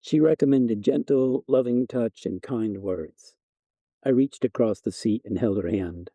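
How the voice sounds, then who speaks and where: A man narrating a first-person short story in a measured, wry literary reading style. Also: mid-range voice, dark tone, dry recording, low-quality recording.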